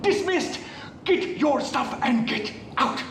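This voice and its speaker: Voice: sits high, expressive, slow pace, gravelly, a little hiss or hum, full character voice. An actor playing an exasperated, sardonic English professor in a web series, speaking in an emphatic, theatrical and sarcastic way. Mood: sarcastic, fed up. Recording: roomy distant mic, music behind.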